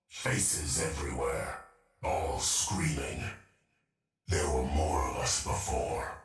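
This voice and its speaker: A glitchy undead cyborg assassin from a video game, rumbling extremely low and very slowly. The haunted, vengeful machine mutters in fragments, drowned in heavy echo. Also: male voice, fairly bright tone, distant mic, heavily acted. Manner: slow, fragmented, brooding muttering. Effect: robotic, glitchy processing. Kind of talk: video game character voice lines. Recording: echoing game audio over music.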